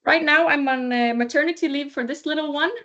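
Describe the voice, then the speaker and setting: A woman's voice: high-pitched, a little fast, fairly bright tone, muffled recording. A woman from Sweden in her thirties giving a cheerful, casual update on a video call, laughing lightly. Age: 30s. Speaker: alumni speaker.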